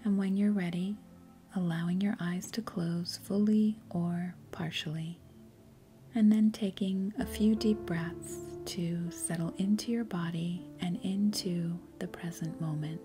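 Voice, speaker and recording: A woman leading a guided meditation in a soft, breathy, gentle and soothing way, with unhurried pauses. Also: lowish voice, fairly even intonation. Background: faint music.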